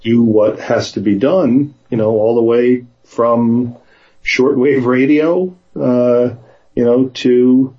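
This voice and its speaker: A man in casual conversation, speaking in a hesitant, halting way, sometimes reading aloud. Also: mid-range voice, steady tone, warm tone, highs cut off. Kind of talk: casual conversation with some read-aloud passages.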